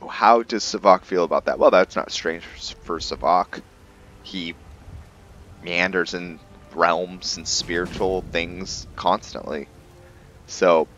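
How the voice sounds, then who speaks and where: A man with a very deep voice talks slowly through his roleplay gameplay. His mic sounds a bit dull, and faint music plays underneath. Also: fairly bright tone. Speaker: gaming roleplay host and player. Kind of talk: slow roleplay gameplay commentary.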